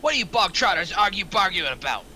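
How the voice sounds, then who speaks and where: An irritable, crude crime boss, played by a man at a table read. The voice is high and piercingly forceful, full of bluster and slangy cursing. Some background noise sits behind it. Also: very animated, quick pace, heavily acted. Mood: irritated, blustering. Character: foul-mouthed, irritable male crime boss.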